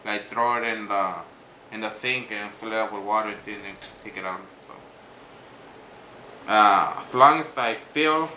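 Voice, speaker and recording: A man talking casually in an unscripted vlog as he reviews gear, in a rambling, halting way. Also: medium pitch, pushed and bright, poor audio. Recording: clear background noise, vehicle sounds.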